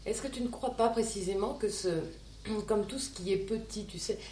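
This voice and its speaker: A woman's voice: mid-range voice, moderate pace, darkish tone, slight background noise. A woman hosting a talk program, speaking in a wry, conversational way with many asides. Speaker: host. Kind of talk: radio interview and commentary.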